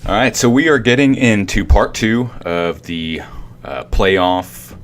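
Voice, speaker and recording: A man's voice: lowish voice, slow, slight background noise. A man co-hosting a casual esports podcast, laid-back and agreeable, often chiming in with yeahs. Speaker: podcast co-host.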